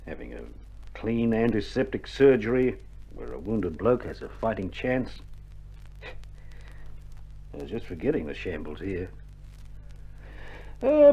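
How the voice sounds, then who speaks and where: An actor playing a weary but hopeful, stoical Australian army medic in a dramatised wartime radio play, with a laconic, slangy delivery. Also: male voice, medium pitch, a little mellow. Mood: wistful, downcast, then rallying. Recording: muffled 1960s vinyl record, music behind.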